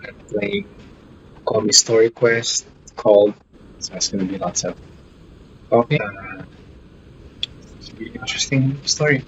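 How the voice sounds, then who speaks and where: A man's voice: mid-range voice, lively intonation, normal speed, creaky, long pauses, a bit bright, muffled recording, a little hiss or hum. A man streaming a video game, chatting casually and greeting viewers between scenes. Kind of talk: casual live stream chat.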